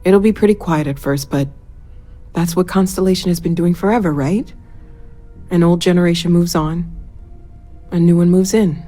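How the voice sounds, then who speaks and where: Actress as sincere nerdy scientist woman, lowish voice, game dialogue, soft music under it. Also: moderate pace, pauses often, close to an everyday voice. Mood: tender, resolute. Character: dedicated, excitable woman scientist, sincere homebody. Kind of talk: video game voice acting.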